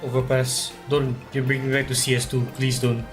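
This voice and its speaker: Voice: medium pitch, flat delivery, a little fast. A streamer giving casual, offhand commentary while playing, with game music behind.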